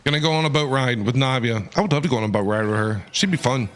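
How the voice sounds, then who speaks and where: A man's voice: mid-range voice, expressive, fast talker. A man giving casual, enthusiastic, chatty live commentary as he streams a video game.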